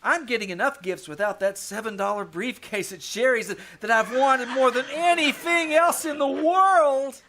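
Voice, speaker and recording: A teenage schoolboy in an old-time radio comedy played by a woman. The voice is very high, eager and earnest, with a coy, wheedling persistence. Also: moderate pace, few pauses, fairly bright tone, slight background noise. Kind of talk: 1950s radio comedy acting.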